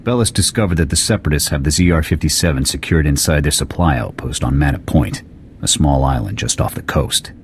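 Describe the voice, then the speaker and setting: A man playing a gruff, blunt, skeptical military lieutenant in a video game, with a clipped no-nonsense manner. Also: extremely low pitch, a little fast, a little hiss or hum. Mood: serious. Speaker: military officer. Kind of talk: scripted video game acting.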